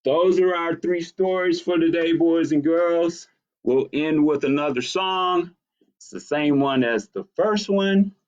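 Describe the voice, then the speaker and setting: A man reading a children's story aloud as a storytime host, playful and deliberate in his delivery. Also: fairly high pitch. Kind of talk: expressive children's picture-book storytelling. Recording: dull lo-fi stream audio.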